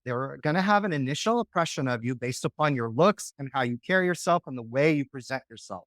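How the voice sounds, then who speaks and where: A man's voice: mid-range voice, expressive, fast, a bit bright, no room sound. A man coaching on dating in a podcast interview, talking casually and often checking in with "right?".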